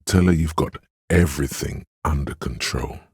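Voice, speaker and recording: A man voicing a tender, perceptive inner voice of empathy in a video game, speaking in a measured, intimate, dramatic way with a husky, gravelly tone. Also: very deep voice, darkish tone, no echo, studio quality, heavily acted. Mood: urging, grand. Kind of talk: scripted game narration, second-person commentary.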